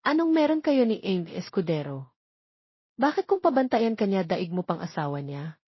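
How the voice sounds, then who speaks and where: Woman as a clingy, insistent jilted boyfriend, husky. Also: medium pitch, very animated, normal speed, no room sound, dull audio. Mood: jealous, accusing. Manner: insistent, pleading. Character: pleading, jilted young man, clingy ex-boyfriend. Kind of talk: dramatic audio story dialogue.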